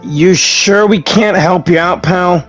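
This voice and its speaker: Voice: medium pitch, slow pace, faint music behind. A gamer giving casual, playful commentary while streaming and reading dialogue aloud in an informal way.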